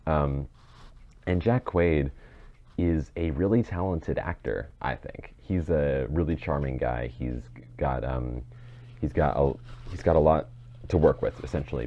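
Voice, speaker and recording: A man's voice: rumbling low, dark tone, highs cut off. A man co-hosting a casual podcast, rambling as he circles back to rephrase his thoughts. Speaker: podcast co-host.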